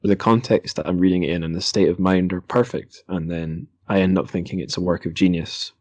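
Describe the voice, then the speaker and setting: A man's voice: low voice, fairly even intonation, fairly quick, darkish tone, no room sound. A young man, a podcast host casually talking about books in a meandering, anecdotal way. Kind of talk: casual book discussion, anecdotal.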